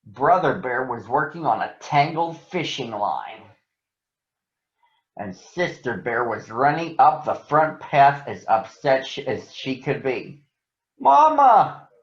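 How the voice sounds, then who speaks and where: A man reading a children's story aloud in an expressive, deliberate storytelling manner. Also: medium pitch. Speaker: storybook reader. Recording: roomy echo, dull lo-fi sound.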